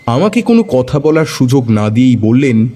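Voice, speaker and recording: Expressive male storyteller, mellow voice. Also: medium pitch, moderate pace, slightly rough. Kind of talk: dramatic narration of a detective story.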